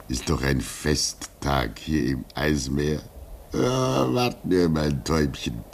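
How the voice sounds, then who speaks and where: A man acting in a 1950s radio drama as an older, fatherly polar station worker who talks in a gentle, chuckling, soothing way. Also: very deep voice, expressive, very slow, pauses often, slight background noise, exaggerated voice.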